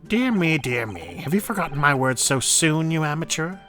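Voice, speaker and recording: A man's voice: a little high, lively intonation, moderate pace, a bit bright, faint music behind, voice pushed up. A man voicing a pompous, condescending courtroom prosecutor in a video game. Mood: scornful, haughty. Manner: haughty, condescending. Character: pompous, scornful male prosecutor scolding an amateur.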